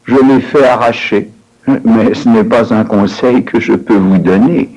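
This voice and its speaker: A man narrating and reading dialogue for a language course in a measured, announcing way. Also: on the low side, fairly even intonation, a little mellow, dull audio. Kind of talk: scripted language lesson narration.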